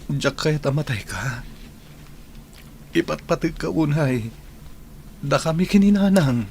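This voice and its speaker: Tender, distressed Ilocano husband. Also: male voice, fairly high pitch, lively intonation, measured pace, gravelly, darkish tone, slight background noise. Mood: pleading. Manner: tender, pleading. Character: devoted, distressed husband of an ailing wife. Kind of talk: Ilocano radio drama acting.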